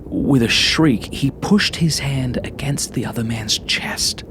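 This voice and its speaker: A man reading a first-person horror story as an audiobook narrator, in a dramatic, suspenseful manner with hushed asides. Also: medium pitch, takes it slowly, background noise.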